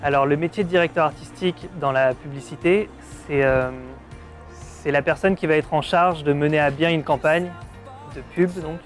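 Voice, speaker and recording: A man in his late twenties from Paris speaks in a casual interview style. His voice sits a little high for a man. He talks reflectively, often searching for the next word, with faint music underneath. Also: fairly even intonation, normal speed. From Paris, France. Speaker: advertising art director being interviewed. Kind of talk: casual on-camera testimonial.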